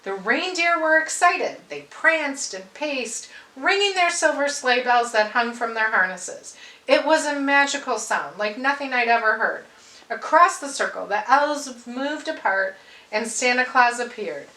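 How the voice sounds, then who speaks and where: Casting: female storybook reader, bright forceful tone, measured expressive delivery, roomy echoing recording. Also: high voice. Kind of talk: expressive children's story read-aloud.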